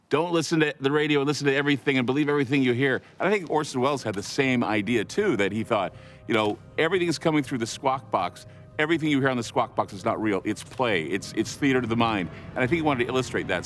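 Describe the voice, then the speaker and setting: A man's voice: mid-range voice, a little hiss or hum. A man giving informal explanatory commentary for a documentary.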